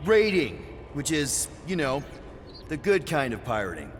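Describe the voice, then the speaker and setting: An actor playing an easygoing, wisecracking young male space adventurer in a video game, with an American accent and a casual, halting delivery. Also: on the high side, wildly swooping pitch, long reverb tail, slight background noise. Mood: hesitant, guarded. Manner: casual, hesitant, joking. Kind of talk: naturalistic video game voice acting.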